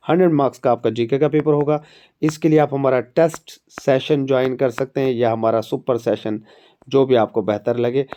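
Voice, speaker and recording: A man's voice: mid-range voice, mellow. A man with a Pakistani Urdu accent and a sore throat, giving chatty exam and job updates as an academy instructor. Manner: chatty, conversational, addresses viewers directly.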